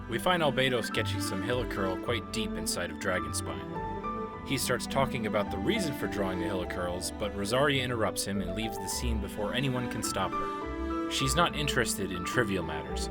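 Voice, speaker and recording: A man reads scripted game lore narration in a fairly low voice. Music plays clearly behind him throughout. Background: background music.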